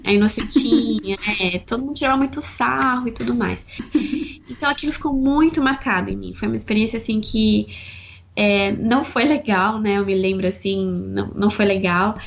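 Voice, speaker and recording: A woman's voice: medium pitch, brisk, vocal fry, slight background noise. A Brazilian woman co-hosting a podcast, sharing personal memories in a reflective, casual way. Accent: Brazilian Portuguese. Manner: reflective, personal storytelling, frequent "né". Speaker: podcast co-host. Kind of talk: casual chat.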